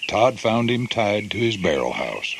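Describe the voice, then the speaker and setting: A man's voice: lowish voice, sing-song, measured pace, gravelly, noisy background. A man narrating a scripted children's storybook as a read-along. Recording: loud music behind the voice.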